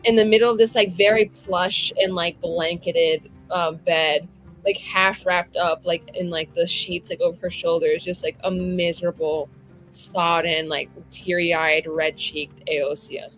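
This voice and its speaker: A woman improvising narration as a tabletop game master, informal and halting as she pieces descriptions together. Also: on the low side, steady tone, fairly bright tone, soft background music, low-quality recording.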